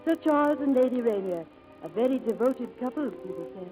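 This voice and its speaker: An actress playing a poised, quietly lovelorn society wife with a restrained, refined manner in a 1940s radio drama. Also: female voice, a little high, lively intonation, a little fast, lightly acted, rough recording. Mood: wistful. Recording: vintage radio broadcast with music.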